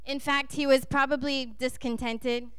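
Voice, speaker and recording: Smooth-voiced woman preacher from Texas. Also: sits high, steady tone, unhurried, a bit bright, no room sound. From Texas, United States. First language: English. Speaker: guest preacher. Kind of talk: sermon delivered in short phrases for an interpreter.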